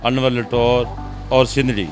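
Halting Pakistani shopkeeper over prominent music. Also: male voice, mid-range voice, steady tone. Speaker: fruit seller. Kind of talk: informal on-camera interview reply. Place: fruit shop. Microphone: handheld microphone.

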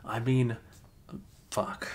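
A man playing a flustered, caring young male best friend with a crush, speaking haltingly in an improvised ASMR roleplay. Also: mid-range voice, level tone. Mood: flustered, embarrassed. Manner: halting, bashful, chuckling, casual bro talk.

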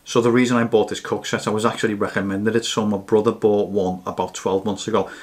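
Low, even-voiced man. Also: fast. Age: 30s to 40s. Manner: matter-of-fact, explanatory. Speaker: camping gear reviewer. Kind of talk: casual product review to camera.

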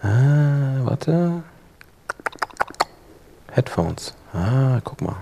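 A middle-aged man giving an informal software demonstration, conversational and thinking aloud as he works. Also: mid-range voice, big pitch swings, drawn-out words, gravelly, background noise. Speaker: workshop presenter, podcaster. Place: indoor workshop room, seated at a computer.

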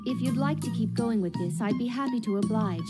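A woman voicing a composed, proud, dutiful young female protector in an anime dub, formal and calm. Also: fairly high pitch, lightly acted. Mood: calm, confident. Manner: formal, calm, measured wording. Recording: music under the voice.

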